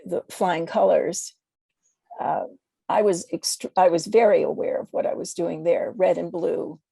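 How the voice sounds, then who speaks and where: An American woman speaking as a guest poet in a reflective interview, halting and searching for words as she corrects herself. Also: on the low side, fairly even intonation, vocal fry, warm tone, breathy edge, no room sound. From United States.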